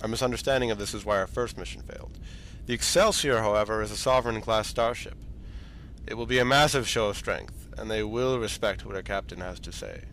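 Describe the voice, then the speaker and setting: A man's voice: fairly low pitch, fairly quick, rough recording. A man playing a senior space fleet admiral in a science fiction audio drama, briefing in a formal, authoritative manner. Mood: measured, authoritative. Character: commanding senior space fleet admiral, brisk and matter-of-fact. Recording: steady background ambient noise.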